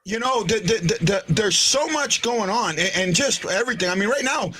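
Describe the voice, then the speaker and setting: A man's voice: sits high, animated, brisk, sharp tone. A man hosting a sports podcast in casual chat, often hesitating, restarting sentences and checking in with "right?". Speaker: podcast host.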